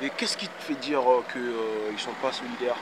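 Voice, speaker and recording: A man's voice: mid-range voice, normal speed, over music. A young man doing a street interview outdoors, asking passersby questions into a handheld phone, with a few hesitant fillers. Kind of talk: street vox pop interview. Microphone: handheld phone held out.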